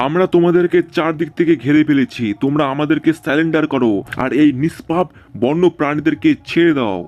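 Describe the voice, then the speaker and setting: Dull-sounding, fast-talking army commander played by a man. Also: fairly high pitch, fairly even intonation, darkish tone, lightly acted. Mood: commanding. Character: earnest male army officer, protective team commander. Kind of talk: dramatic cartoon dubbing.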